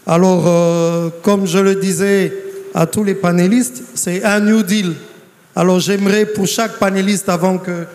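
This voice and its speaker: A man moderates a live panel formally. His voice is notably high and he speaks slowly and deliberately, with the long reverb of a conference hall around him. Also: little pitch movement, darkish tone.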